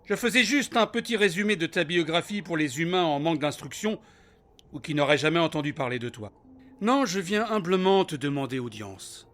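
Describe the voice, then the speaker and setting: A man voices a sarcastic sea god in a comic audio drama. His voice sits a little higher than most men's. He speaks with mock formality and keeps needling the other side. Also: lively intonation, a little fast, fairly bright tone.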